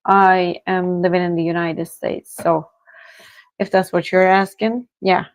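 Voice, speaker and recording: A woman from Turkey, chatting casually with viewers as she hosts a live tarot reading stream. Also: fairly low pitch. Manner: slow, relaxed, chatty with viewers, soft laughs. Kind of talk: casual livestream chat with viewers.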